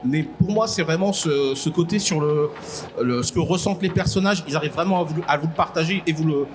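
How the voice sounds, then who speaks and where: A man from Congo, fairly high-voiced, speaks on a live convention stage. He searches for words aloud and often restarts his phrases. Loud crowd ambience fills the background. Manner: halting, repeats words while thinking. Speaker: podcast guest speaker. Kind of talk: live panel discussion. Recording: noisy live event ambience.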